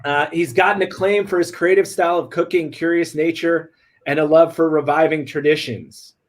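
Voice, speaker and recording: Upbeat quick-talking livestream host. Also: male voice, on the high side, steady tone. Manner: upbeat, quick, laid-back slang. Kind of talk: casual livestream hosting.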